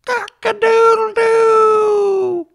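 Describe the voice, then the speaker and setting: A reader voicing a loud, insistent rooster with shrill, drawn-out crowing for a storybook. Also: little pitch movement, gravelly, heavily acted. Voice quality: shrill, piercing.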